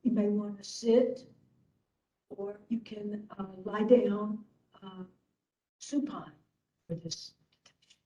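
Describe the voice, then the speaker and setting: A woman leading a guided meditation as instructor, speaking gently in short phrases with long pauses. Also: medium pitch, lo-fi sound.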